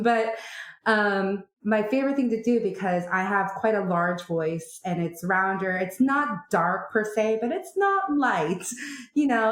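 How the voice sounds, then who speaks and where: A Canadian woman, an opera singer, chatting animatedly in a podcast interview, full of fillers and likes. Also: mid-range voice. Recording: roomy echo.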